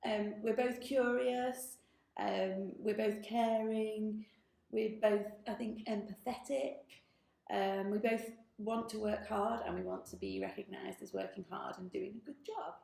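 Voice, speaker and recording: A woman's voice: medium pitch, steady tone, unhurried, gravelly, mellow. A woman technology director answering interview questions in a thoughtful, earnest way. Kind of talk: workplace interview. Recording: roomy office with faint noise.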